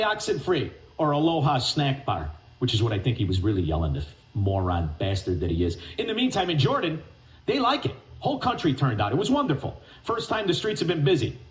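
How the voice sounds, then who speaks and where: A man hosting talk radio, ranting and mocking with name-calling and sudden shouted bursts. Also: a little high, roomy sound, poor audio. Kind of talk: angry talk radio rant.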